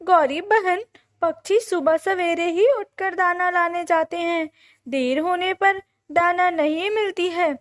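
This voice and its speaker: A woman's voice: very high voice, fairly even intonation, slow pace, pitched above the performer's own voice. A woman voicing a kind, diligent little female sparrow mother in a children's cartoon story, chiding and helpful.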